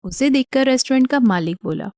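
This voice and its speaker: A woman narrating a scripted animated story as the storyteller. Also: high voice, a little fast, no room sound, studio quality.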